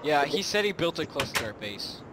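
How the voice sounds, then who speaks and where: A woman's voice: deep voice, big pitch swings, fairly quick, heavy background noise. A woman hosting a casual let's play, joking around with friends and often dropping to a whisper. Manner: joking, giggly, drops to whispers. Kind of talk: casual gaming chat. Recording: loud background music.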